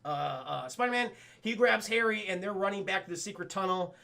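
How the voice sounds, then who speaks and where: High-pitched, creaky-voiced man recapping casually. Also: brisk, sharp tone. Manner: profane, addresses viewers, stammering. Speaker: streamer and cartoon reviewer. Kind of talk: casual, animated scene-by-scene recap.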